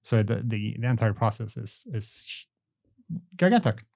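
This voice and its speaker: A man hosting a podcast, chatting in a relaxed way and often trailing off softly. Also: lowish voice, unhurried, creaky. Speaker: podcast host. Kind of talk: casual interview chat.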